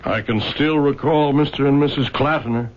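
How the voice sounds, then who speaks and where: An actor playing a meek, pious man in a 1970s radio drama, deferential and gentle. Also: mid-range voice, normal speed, lightly acted. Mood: earnest. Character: meek, respectful, pious brother of simple faith. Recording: dull lo-fi vintage radio recording.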